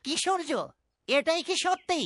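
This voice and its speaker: A woman's voice: extremely high pitch, normal speed, a bit bright. A woman voicing a stern, proud village father in a cartoon, speaking firmly. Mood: questioning. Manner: firm, declarative scolding. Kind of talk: cartoon dubbing. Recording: music bed behind voice.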